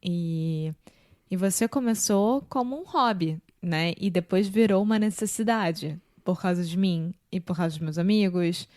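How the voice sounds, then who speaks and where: Carioca woman with a low, dry voice. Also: measured pace, dry recording. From Brazil. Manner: relaxed and explanatory, talks things through. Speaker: podcast co-host. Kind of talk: casual conversation.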